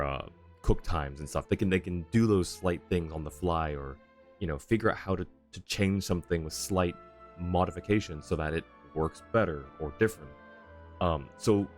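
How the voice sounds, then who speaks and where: Deep-voiced male game streamer. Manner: rambling, conversational. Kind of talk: casual live stream commentary.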